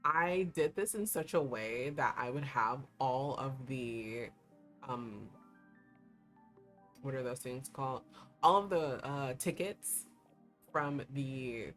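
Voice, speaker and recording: A young woman streaming a game, her voice hoarse and giving out, chatting in a rambling way with lots of fillers. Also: a bass voice, slow pace, long pauses, fairly bright tone. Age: young adult. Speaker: VTuber streamer. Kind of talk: casual livestream chat while playing a gacha game. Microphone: desk streaming mic. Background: game music.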